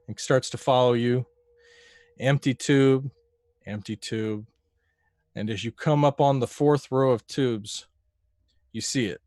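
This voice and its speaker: A man's voice: medium pitch, darkish tone, dry recording. Dry-sounding man narrating as game master. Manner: descriptive, savoring details. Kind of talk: narrating a tabletop role-playing game.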